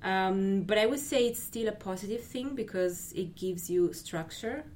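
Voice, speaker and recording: A woman's voice: medium pitch, a little mellow, a little hiss or hum. A woman from Italy, a creative recruiter, speaking thoughtfully in a casual interview. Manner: thoughtful, measured, with fillers.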